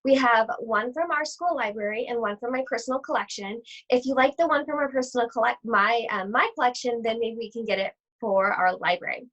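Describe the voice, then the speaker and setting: A woman reading a children's picture book aloud to schoolchildren in an animated, theatrical storytelling manner. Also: on the high side, fairly quick, few pauses. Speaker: read-aloud host at an elementary school. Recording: dull, lo-fi sound.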